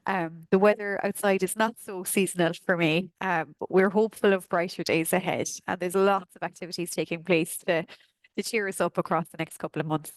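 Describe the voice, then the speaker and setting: Hoarse Kerry woman with a lowish voice. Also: fairly even intonation, fairly quick, no echo, low-quality recording. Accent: Kerry Irish. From Kerry, Ireland. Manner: chatty, friendly, frequent ums and uhs. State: hoarse from a sore throat. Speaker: arts office presenter. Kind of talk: walking through an events newsletter.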